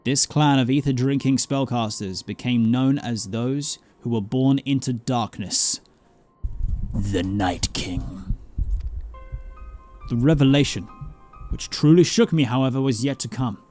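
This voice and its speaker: A man voicing a grave, scholarly mentor from a fantasy game, telling dark lore in a formal, deliberate storytelling manner. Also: medium pitch, lightly acted. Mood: grave, dramatic. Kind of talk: dramatic reading of fantasy game dialogue. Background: game music.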